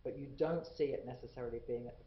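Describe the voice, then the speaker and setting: A man moderates a panel, pressing with brisk, probing questions. His voice sounds roomy and muffled, with a faint hiss. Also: medium pitch, steady tone. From New Zealand. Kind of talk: panel discussion. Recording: echoey muffled room recording.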